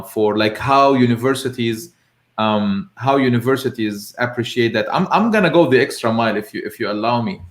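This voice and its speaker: Male talk host, halting informal delivery, echoey room sound. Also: mid-range voice. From Lebanon. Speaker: host of a live discussion show. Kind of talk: informal interview discussion.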